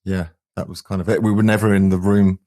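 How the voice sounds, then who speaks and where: Deep-voiced man. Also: little pitch movement, fast talker, dark tone. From England. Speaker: band frontman, singer and guitarist. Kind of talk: conversational interview answers.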